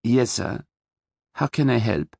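A man voicing a composed, frank German woman in an audiobook, with a soft German accent. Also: mid-range voice, very animated, takes it slowly, gravelly, slightly rough, no echo, clean studio sound, pitched above the performer's own voice, exaggerated voice. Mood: composed, courteous. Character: tall, composed, brisk German woman around forty.

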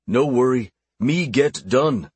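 A man acts out a young orc apprentice mage from a fantasy audiobook, earnest, big-hearted and anxious. The voice has a pleading manner and a slightly husky grain, recorded dry. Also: medium pitch, expressive, slow, no echo. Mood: hasty, playing dumb. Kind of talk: audiobook character voice.

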